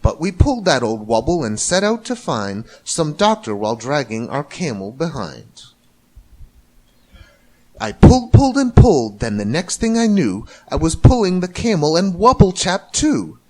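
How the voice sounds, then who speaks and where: Highly animated man reading a rhyming story. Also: normal speed. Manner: theatrical. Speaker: storybook reader. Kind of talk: expressive rhyming children's story narration.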